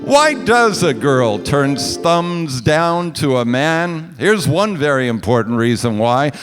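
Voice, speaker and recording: Male vintage-radio announcer, higher voice, clean resonant tone, deliberate and emphatic, live hall. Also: very animated, clear, resonant tone. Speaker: radio play director and announcer. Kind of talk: 1940s radio announcing, scripted ad reads. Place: stage of a large hall. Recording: echoey stage hall, music behind.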